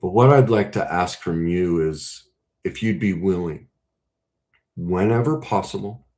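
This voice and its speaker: A man speaking as a relationship coach, earnest and emphatic, breaking his talk into short, deliberate phrases. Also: sits low, a little mellow. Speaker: relationship coach and podcast host. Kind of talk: coaching talk to camera with role-played example conversations.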